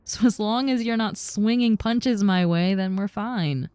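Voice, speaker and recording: A woman's voice: mid-range voice, a little slow, a little mellow, clear, resonant tone, no echo, lightly acted. A woman playing a friendly, harmless giant snake-girl roommate in a roleplay audio, talking in a casual, reassuring, teasing way. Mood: friendly, joking. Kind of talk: roleplay audio with personal attention, conversational acting.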